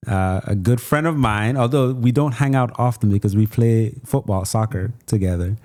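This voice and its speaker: Nigerian-born guy hosting a podcast, low mellow voice, easygoing chat, lots of mm-hmms. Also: normal speed. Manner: conversational, frequent affirming murmurs and restarts. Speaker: podcast host. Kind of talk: casual interview chat.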